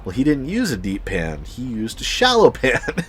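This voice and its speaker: A man telling a personal anecdote in a casual, sweary, animated storytelling style, as the host of a video. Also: medium pitch, normal speed, a little hiss or hum.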